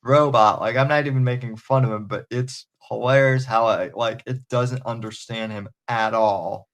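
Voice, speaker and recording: A man's voice: mid-range voice, steady tone, normal speed. A man hosting a casual podcast, rambling with hesitant restarts and crude humor. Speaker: podcast host. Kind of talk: casual chat.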